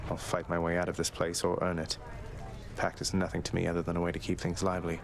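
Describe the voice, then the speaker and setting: An actor playing a wry, rebellious young underworld prince in a video game, speaking in a dry, politely sardonic way. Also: male voice, deep voice, completely flat delivery, quick pace, vocal fry, a crowd in the background. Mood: dry, defiant.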